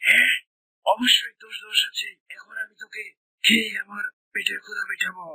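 A performer voicing a hungry, bullying tiger in a children's cartoon, scolding and menacing in a breathy, rasping voice. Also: mid-range voice, brisk, bright, forceful tone, no echo, full character voice, low-quality recording.

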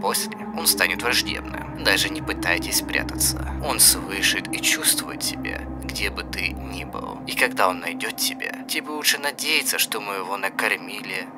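A man's voice: deep voice, moderate pace, hardly any pauses. A man reading a scripted horror video narration as a narrator. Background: background music.

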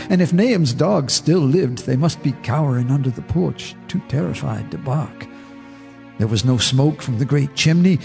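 An actor narrating a radio horror drama as a haunted neighbor, in a grave, measured storytelling manner. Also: male voice, on the high side, gravelly, darkish tone. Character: haunted male neighbor telling a horror tale.

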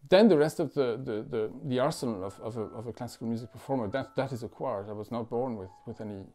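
A man in his forties from France, a musician talking thoughtfully at home, with many pauses, fillers and restarts. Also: mid-range voice, mellow. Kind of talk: reflective interview talk. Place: living room at home.

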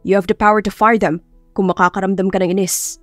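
A woman's voice: mid-range voice, level tone, fairly quick, no echo, faint music behind, voice pushed down, lightly acted. A woman voicing a curt, protective young male executive with a blunt, commanding manner in an audiobook. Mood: firm, protective. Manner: blunt, commanding, switches into English. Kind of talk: dramatised audiobook dialogue.

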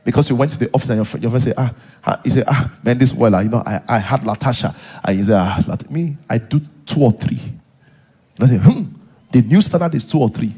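A middle-aged man with a Nigerian accent and a husky voice, preaching as a pastor to a live congregation in an animated, conversational way, full of interjections and rhetorical questions. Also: mid-range voice, fast talker. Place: large church auditorium, on stage. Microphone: headset.

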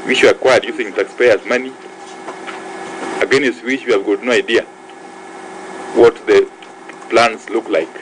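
A man presses a witness with formal, pointed questions at a committee hearing. There is steady room noise behind his voice. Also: lowish voice, unhurried, long pauses. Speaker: committee chair. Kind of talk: parliamentary hearing.

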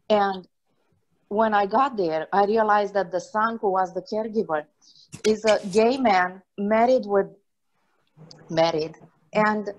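A woman's voice: medium pitch, low-quality recording. A woman in her forties, originally from Romania, who works as a chaplain, tells an earnest personal story during a video-call discussion. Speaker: hospital chaplain. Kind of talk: personal testimony in a group discussion.

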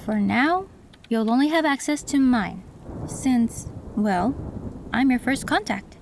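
Woman playing a calm cyberpunk tech. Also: fairly high pitch, takes it slowly, creaky, slight background noise, heavily acted. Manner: calm, matter-of-fact, explaining. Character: female cyberpunk tech who modifies androids. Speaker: voice actress in audio roleplay. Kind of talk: scripted audio roleplay.

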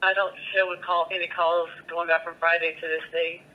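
A man's voice: on the high side, level tone, brisk. A man handling police radio traffic over a two-way radio. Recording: dull police scanner radio.

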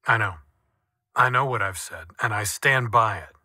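A stern male official lectures his caller, his voice bright and pointed. The recording is close and dry, with no room sound. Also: on the low side, normal speed, no echo. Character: self-righteous male official defending a harsh group. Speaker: official on a phone call.

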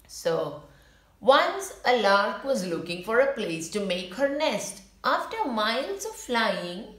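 A woman's voice: medium pitch, a bit bright. A woman reading a children's storybook aloud, adding simple explanations for young listeners. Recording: roomy, echoing room.

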